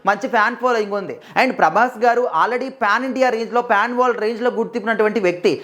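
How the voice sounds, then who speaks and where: She is a woman delivering film news commentary. Her voice sits fairly high and she moves through her sentences at a rapid clip. The delivery is conversational but emphatic, circling back over phrases as she explains. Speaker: presenter of film news videos.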